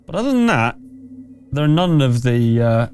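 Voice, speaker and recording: Guy game mastering, average pitch, kinda halting chatty explaining, faint music behind him. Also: sing-song, a little mellow. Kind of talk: improvised tabletop game narration.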